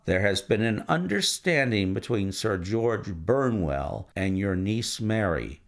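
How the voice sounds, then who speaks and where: A man reading a stern detective who speaks calmly and with authority. His voice is pitched deep and sounds gravelly, and he delivers each point steadily and gravely, with expressive rises and falls. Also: slow. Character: calm, stern male detective, measured and authoritative.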